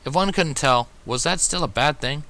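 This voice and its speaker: A man narrating first-person fan fiction in an expressive storytelling style. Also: on the high side, moderate pace.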